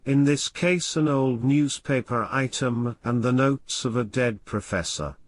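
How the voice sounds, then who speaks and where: A man's voice: medium pitch, no echo. Man playing a grave professor reading weird tales. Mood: ominous, grave. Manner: grave, measured, formal delivery. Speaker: narrator and host. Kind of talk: scripted literary horror audiobook narration.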